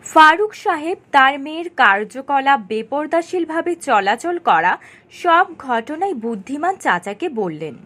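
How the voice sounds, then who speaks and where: A woman's voice: high-pitched, very animated, unhurried, bright, forceful tone. A woman reading scripted narration for a cartoon story.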